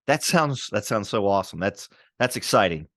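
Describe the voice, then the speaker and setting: A man's voice: lowish voice, animated, moderate pace, a bit bright, no echo, studio quality. A man co-hosting a podcast, enthusiastic and curious, often restarting his sentences. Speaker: podcast co-host. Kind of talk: casual interview chat.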